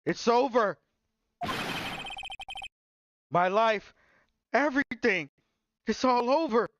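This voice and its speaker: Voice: mid-range voice, lively intonation, unhurried, highs cut off, background noise, voice pushed up, lightly acted. A game streamer voicing a melodramatic, despairing young male defendant from a visual novel. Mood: despairing, frantic. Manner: melodramatic, whiny, exclaiming. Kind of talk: visual novel game dialogue read aloud.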